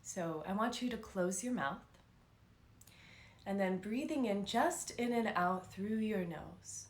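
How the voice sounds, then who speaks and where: Woman guiding meditation in an echoey room. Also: mid-range voice. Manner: calm, soothing, unhurried with long pauses. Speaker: meditation and yoga instructor. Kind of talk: guided meditation, unscripted live instruction.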